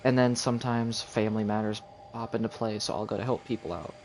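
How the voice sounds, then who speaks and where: A man's voice: on the low side, fairly even intonation, fast talker, a little hiss or hum. A man streaming a video game, chatting casually and thinking aloud as he plays. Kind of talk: casual gameplay commentary. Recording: game music loud behind.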